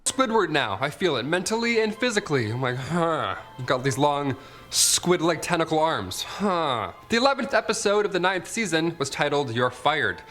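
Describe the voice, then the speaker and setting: A man's voice: fairly high pitch, very animated, normal speed, a bit bright. A man hosting a top ten countdown, narrating conversationally with wry, joking asides and mimicked reactions. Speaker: top ten list video host. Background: background music.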